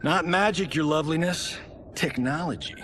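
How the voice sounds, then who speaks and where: An actor playing a cocky, flippant, flirtatious fighter in a fantasy game. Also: male voice, on the high side, moderate pace, a bit bright, a little hiss or hum, close to an everyday voice. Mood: smug, flirty. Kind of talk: cinematic fantasy game acting.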